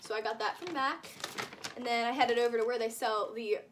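A woman's voice: fairly high pitch, brisk. A nineteen-year-old woman chatting casually in a shopping haul vlog, rambling and gushing with lots of filler "like" and exaggeration. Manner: rambling, gushing, uses frequent "like" and hyperbole. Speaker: vlogger showing shopping haul. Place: indoors, small room with curtain backdrop.

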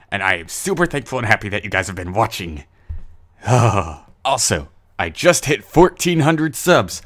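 A man's voice: medium pitch, a bit bright. Casual jokey guy with goofy asides. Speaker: host of an animated fight series channel. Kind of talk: casual update vlog, informal chat.

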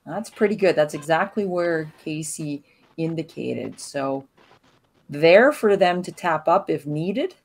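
A woman giving calm, analytical live commentary on a curling match. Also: sits low. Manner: calm, analytical play-by-play with pauses.

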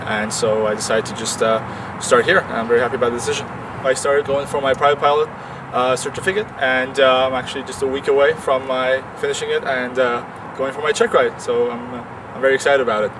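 Hesitant young man, distant outdoor mic. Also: mid-range voice. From Iran. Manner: hesitant, many uhs, quickening as he gets excited. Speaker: student pilot. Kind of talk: outdoor interview. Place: outdoors on an airfield tarmac. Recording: distant outdoor mic, clear background noise.

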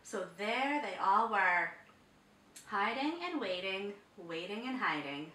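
A woman in her thirties reading a picture book to children in a dramatic, deliberate way. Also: mid-range voice, lively intonation, bright, forceful tone, slight background noise. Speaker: children's storyteller. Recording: roomy, distant microphone.

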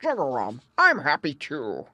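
A woman voicing a very old, stubborn male frog in a storybook, with a gruff, emphatic croak. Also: deep voice, wildly swooping pitch, measured pace, sharp tone, dry recording, exaggerated voice. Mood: content.

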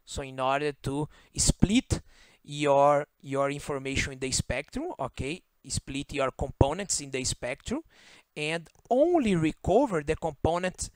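A man whose native language is Portuguese, speaking English with a Brazilian Portuguese accent, explains a circuit diagram as an electronics instructor, pausing often, correcting himself and checking in with "okay?". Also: a little high, no room sound. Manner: halting, self-correcting, frequent "okay?" checks. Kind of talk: screen-share circuit walkthrough. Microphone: voice-over on screen recording.

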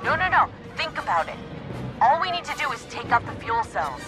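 A woman's voice: a little high, big pitch swings, fairly quick. An actress playing a confident female starfighter pilot turned rebel in a video game. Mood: playful, determined. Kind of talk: video game dramatic acting. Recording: loud game music behind voice.

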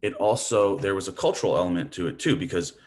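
Man from Hawaii, very low voice, relaxed lecturing, webcam mic, small room. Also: fast talker. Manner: casual, thinking aloud with fillers. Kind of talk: academic lecture over video call. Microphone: video call webcam mic.

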